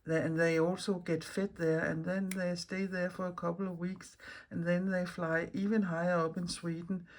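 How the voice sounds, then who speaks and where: A woman from Denmark with a Danish accent, chatting casually and unscripted as a craft vlog host, rambling and searching for words in halting non-native speech. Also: lowish voice, little pitch movement. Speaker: mixed media artist and video host.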